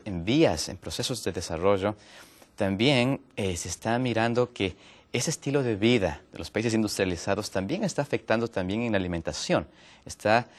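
A man from Ecuador speaks as a guest on a TV health show. His voice sits on the low side, and he explains slowly through many fillers and restarts. His pitch climbs when he drives a point home. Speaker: guest, natural medicine health counselor.

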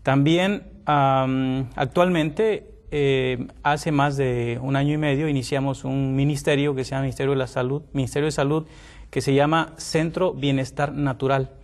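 A middle-aged man from Mexico, a naturopathic doctor and former pastor, speaking politely as a TV guest with many fillers and pauses. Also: medium pitch, fairly even intonation. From Tamaulipas, Mexico. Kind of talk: TV interview guest.

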